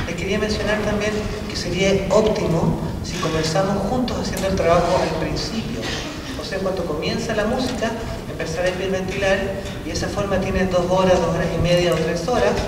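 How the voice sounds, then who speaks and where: A South American Spanish-speaking man giving friendly, informal instructions to a workshop group as its facilitator. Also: sits high. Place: large echoey hall. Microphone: distant microphone. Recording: echoey hall from far off, background noise.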